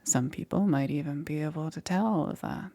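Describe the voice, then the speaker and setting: Casting: woman to play a caring witch for ASMR roleplay, husky whisper with crackly fry, halting and reassuring. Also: low-pitched. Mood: hesitant, concerned. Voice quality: soft, breathy, often whispered. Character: gentle, apologetic witch necromancer caring for her undead patient. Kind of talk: ASMR roleplay, intimate and slow.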